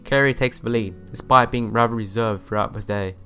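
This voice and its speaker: A man's voice: fairly low pitch, fairly quick, bright, forceful tone. A man reading visual novel narration aloud as a let's player, telling it expressively and sometimes stumbling and rereading phrases. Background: music.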